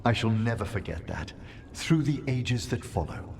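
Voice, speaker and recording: Grave, courtly fantasy game companion, formal and solemn, widely moving pitch, some background noise. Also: male voice, lively intonation, vocal fry. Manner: formal, measured, stately. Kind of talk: scripted fantasy game dialogue.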